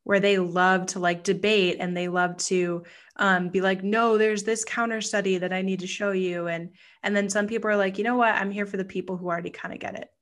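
Steady-toned woman hosting a podcast. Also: medium pitch, brisk. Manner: supportive, frequent "mm-hmm" and "yeah" backchannels. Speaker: podcast host. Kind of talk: casual conversational interview.

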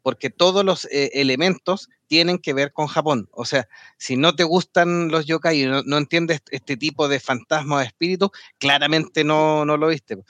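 A man chatting on an anime podcast, his voice sitting a bit high for a man. He rambles, laughs readily and restarts his sentences, recorded close with no room sound. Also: steady tone, moderate pace, fairly bright tone, no room sound. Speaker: podcast co-host. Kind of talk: casual anime talk with co-hosts.

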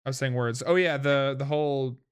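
A twenty-year-old streamer hosting a casual chat, talking quickly and playfully with restarts. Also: medium pitch, steady tone, smooth. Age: young adult. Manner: quick, stumbling, playful talk.

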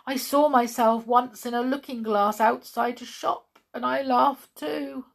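A high, husky little storybook dog, played by a woman, forlorn and eager to be loved. The puppy pleads and laments in a plaintive, drawn-out way. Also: sing-song, darkish tone, heavily acted. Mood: sad, self-pitying. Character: sad, ugly young male dog, self-pitying and eager.

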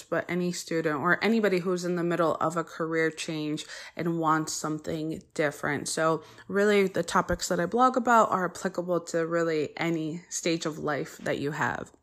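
A woman's voice: lowish voice, a bit bright. A woman hosting her own podcast, talking earnestly and chattily with frequent ums and detours. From United States. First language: Polish. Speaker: podcast host. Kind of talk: solo podcast introduction.

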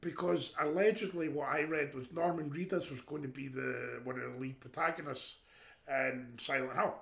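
A man with a deep voice telling stories in a rambling, animated way during a casual chat. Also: normal speed, creaky. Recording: echoey room.

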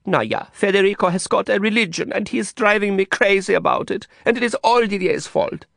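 A wide-eyed, naive young woman voiced by a male reader pouts and whines in a thin voice pitched far above his own. It sounds innocent and sulky, and the recording is dry and close. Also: animated, moderate pace, vocal fry, fairly bright tone, dry recording, heavily acted. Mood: complaining. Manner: pouty, whiny.

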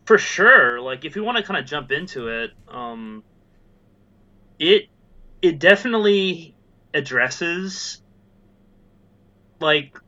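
Bright-voiced rambling man, lo-fi sound. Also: a little high, animated, slow pace, long pauses. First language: not Japanese. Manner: rambling, filler-heavy, laughs often. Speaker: podcast guest. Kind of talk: casual chat. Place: in Japan.

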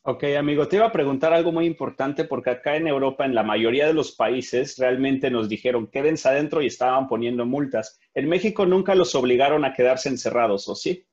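A man's voice: medium pitch, fairly quick, few pauses, darkish tone, highs cut off. A man with a Mexican accent hosting a casual group podcast call. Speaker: podcast host.

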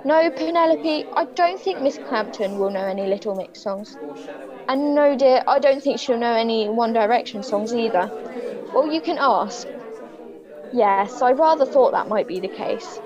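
A woman plays a fussy, patronizing schoolteacher trying to keep order in her class. Her high voice swoops up and down as she corrects the pupils. The audio sounds dull and muffled. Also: expressive, normal speed, crowd noise, natural voice. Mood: prim. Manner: prim, patronizing, swooping intonation. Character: prim, fussy schoolteacher facing an unruly class. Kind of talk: comic stage monologue.

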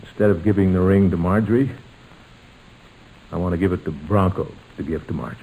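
A very low-pitched American family patriarch, middle-aged and tender. He speaks in halting, deliberate phrases, in the style of an old radio comedy, with light noise on the vintage recording. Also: creaky, dark tone, poor audio. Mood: warmly ceremonious. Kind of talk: old-time radio sitcom acting.

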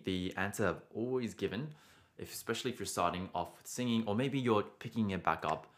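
A young man teaching singing on a live stream, answering viewer questions casually and often adding "right?". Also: sits low. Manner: casual, encouraging, frequent "right?" tags.

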